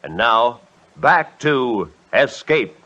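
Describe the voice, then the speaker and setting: A man's voice: mid-range voice, big pitch swings, extremely slow, very noisy. A man announcing in formal, emphatic scripted 1950s radio style. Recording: dull vintage radio recording.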